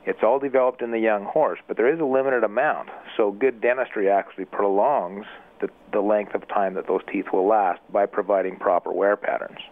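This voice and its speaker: A male expert guest speaking in a measured, methodical way, explaining the details of his work. His voice is somewhat low and reaches the show over a thin, tinny phone line. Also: fairly even intonation. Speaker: equine dentist guest. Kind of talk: explanatory radio interview.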